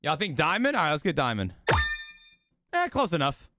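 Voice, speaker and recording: A man hosts a casual livestream in a high, very animated voice that sounds strained from use. He talks to his viewers in hyped, sweary bro slang. The sound is close and dry. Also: normal speed, no echo. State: voice strained, losing it. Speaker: live streamer hosting a watch party.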